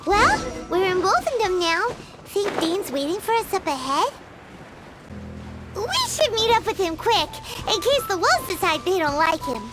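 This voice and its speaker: A woman voicing a tiny, childlike, excitable female fairy companion in a video game, with a squeaky voice. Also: unhurried, heavily acted. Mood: curious. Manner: excitable, childish, refers to self in third person. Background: loud game music.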